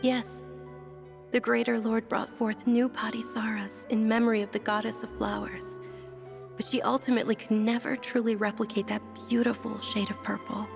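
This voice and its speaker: Game role: wistful, measured young woman, even intonation, creaky edge, music clearly behind. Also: on the high side. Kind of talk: video game voice acting.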